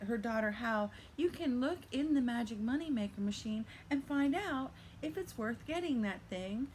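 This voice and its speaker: Older woman, high-pitched, animated intonation, playful storybook narration, casual digressions, noticeable background noise. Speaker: vlogger telling a reselling story. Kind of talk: playful fairy-tale storytelling, casual asides.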